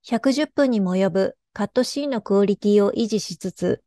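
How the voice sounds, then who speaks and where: A woman's voice: mid-range voice, moderate pace, warm tone, no echo. A woman giving a scripted presentation as a lead artist.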